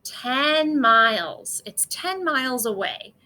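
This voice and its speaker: A woman voicing a steady, reassuring old farm grandmother from a storybook, with an encouraging, emphatic delivery. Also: high voice, slow pace, exaggerated voice, poor audio. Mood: brisk, urging.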